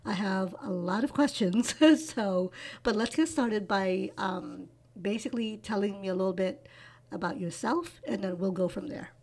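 A woman hosting an interview show, reading out a guest introduction and then asking soft, hesitant questions. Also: mid-range voice, moderate pace. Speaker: podcast host.

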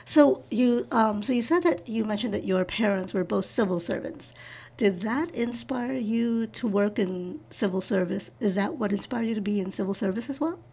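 Husky-voiced New woman hosting. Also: medium pitch, moderate pace. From New York. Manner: warm interjections, rambling questions, laughs. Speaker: podcast host. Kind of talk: interview hosting, intro read then chat.

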